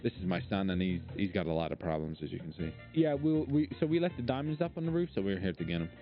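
Guy doing cocky middle aged criminal dad in a game rp, creaky, sweary, music behind. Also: low voice, very animated, quick pace, dull audio, voice pushed down. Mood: deadpan. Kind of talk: improvised comic game roleplay.

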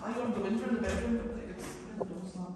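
A woman's voice: on the high side, fairly even intonation, fast talker. A middle-aged woman, chatting casually at home a few steps from the camera. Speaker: vlog co-host. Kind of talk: casual domestic chat. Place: bare tiled rooms indoors. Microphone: camera a few steps away. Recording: very echoey rooms, distant mic, noise.

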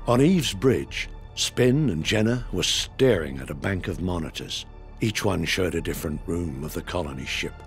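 Narrator for space adventure audio drama: low-voiced man, suspenseful scripted delivery over strong music. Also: heavily acted. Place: recording studio.

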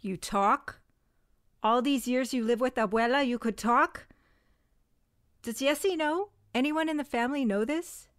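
A quiet young witch from an audio drama, played by a woman, speaking in a fairly high voice at an unhurried pace. She sounds plain and earnest, and the recording is dry and close. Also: smooth, no echo. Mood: astonished. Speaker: shop heir and cousin.

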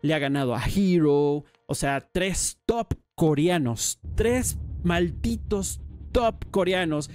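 Excitable podcast host. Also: male voice, fairly high pitch, slow, no echo, studio quality, faint music behind. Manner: animated, enthusiastic, lots of slang. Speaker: podcast host and StarCraft caster. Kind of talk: casual podcast chat.

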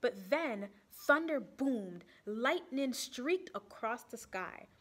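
A young woman reading a picture book aloud to children in an expressive storytime style, pausing to put questions to them. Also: on the high side. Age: young adult. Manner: animated, asks the children questions.

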